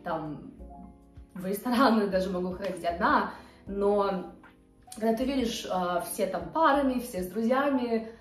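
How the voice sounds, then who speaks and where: 28 yr old woman chatting to camera on her couch, lots of ums, roomy sound, soft music under it. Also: medium pitch, unhurried. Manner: chatty, hesitant with many fillers, gestures with hands. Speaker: vlogger. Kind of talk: casual chat to camera. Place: bright living room, on a couch. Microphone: camera a few feet away.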